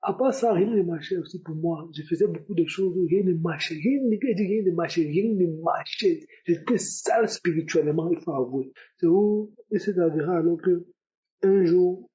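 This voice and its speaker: A young man with a high, soft-toned voice tells his personal testimony, repeating words for emphasis as he goes. The sound is a bit dull and lo-fi. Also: normal speed. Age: young adult. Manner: repetitive, emphatic storytelling. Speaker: testimony giver. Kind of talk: spoken religious testimony.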